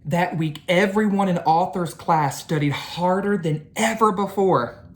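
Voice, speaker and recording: A woman reading a children's picture book aloud like a teacher, with expressive, deliberate storytelling. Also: fairly low pitch.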